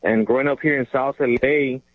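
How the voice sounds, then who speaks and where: A man's voice: medium pitch, steady tone, a little fast, no echo. Rambling male caller on a phone line. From Mexico. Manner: rambling, hesitant, lots of ums, laughs easily. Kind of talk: casual call-in chat. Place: in a car. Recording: tinny phone line from a car.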